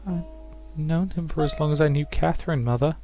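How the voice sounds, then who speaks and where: A man voicing a grieving young woman in a visual novel, protesting haltingly. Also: on the high side, dark tone, voice pushed up, natural voice. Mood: defensive, sad. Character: grieving young woman defending her friend. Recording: music behind the voice.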